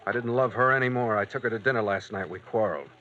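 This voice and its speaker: A young painter on edge who snaps defensively. His voice is sharp and bright. The recording sounds dull and old. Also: lowish voice. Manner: tense, defensive, quick to snap. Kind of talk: 1940s radio acting.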